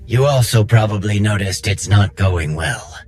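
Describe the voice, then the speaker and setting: A man playing an earnest, quick-thinking rebel worker in a video game, talking informally and persuasively. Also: lowish voice, a little mellow, soft background music, lightly acted. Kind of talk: video game cutscene acting.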